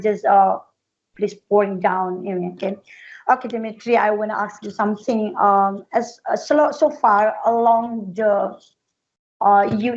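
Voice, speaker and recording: A woman's voice: mid-range voice, a little mellow. Encouraging woman hosting a chat. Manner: encouraging, with simple, non-native English. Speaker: host of an English conversation group. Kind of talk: casual chat. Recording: muffled online call.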